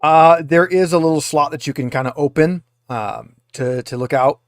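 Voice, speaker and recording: Higher-voiced man running a tabletop game. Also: moderate pace, no echo, studio quality. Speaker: game master. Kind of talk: improvised tabletop game narration, conversational.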